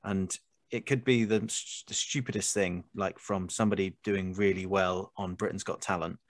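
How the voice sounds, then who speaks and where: A British man with a low voice hosts a casual podcast chat. He speaks earnestly, wandering and repeating words as he thinks, in a dry recording with no room sound. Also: steady tone, normal speed, no echo. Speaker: podcast host. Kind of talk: casual conversational interview.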